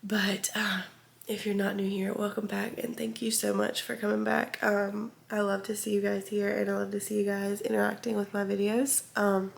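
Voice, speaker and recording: A woman's voice: mid-range voice, creaky. A young woman, sick and congested, chatting casually and candidly to her vlog viewers in a stuffed-up, hesitant, meandering way. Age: young adult. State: sick with congestion and chest cough. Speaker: vlogger. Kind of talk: casual confessional vlog chat.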